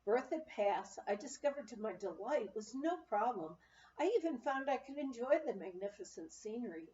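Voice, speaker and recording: A woman narrating a memoir aloud in an expressive, storytelling manner. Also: a little high, moderate pace, distant mic. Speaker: audiobook reader. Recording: muffled, roomy, off-mic amateur recording.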